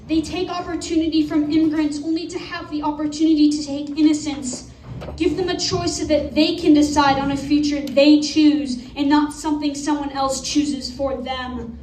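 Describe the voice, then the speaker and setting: A woman reciting slam poetry live at a venue, with emphatic, rhetorical, measured phrasing. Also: sits high, darkish tone. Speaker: slam poet. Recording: distant roomy live venue recording, noisy.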